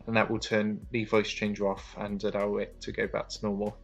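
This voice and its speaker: A man with a low voice and plenty of fry narrates a step-by-step tutorial. Music plays behind his instructions. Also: monotone, fairly quick, lo-fi sound. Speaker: tutorial presenter.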